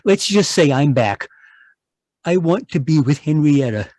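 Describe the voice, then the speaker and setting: A man's voice: fairly high pitch, lively intonation, measured pace, a little mellow, no echo. An actor playing an anxious, hesitant husband in fear for his life, stammering, in an old-time radio mystery. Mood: earnest, hopeful. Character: anxious, wronged husband seeking his wife and fearing murder. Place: home, live video call performance.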